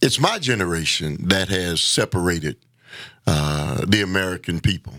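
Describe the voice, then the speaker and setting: Earnest low-voiced man, halting delivery. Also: animated, a bit bright, no echo, studio quality. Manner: earnest, halting, with repeated words and drawn-out emphasis. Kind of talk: casual conversation.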